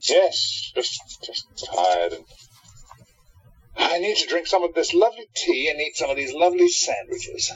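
Man acting a husky, high-voiced, testy hero. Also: sing-song, unhurried, fairly bright tone, lo-fi sound, full character voice. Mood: dry, tired. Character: weary, irritable, sardonic male hero. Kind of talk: radio play acting.

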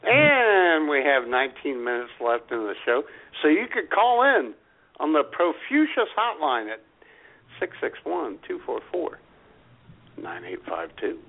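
A man's voice: on the high side, huge pitch swings, unhurried, creaky. A man co-hosting a comedy radio show who laughs often and tells stories with relish. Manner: laughs often, eager storyteller. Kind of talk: casual comedic radio banter. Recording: tinny phone-like line.

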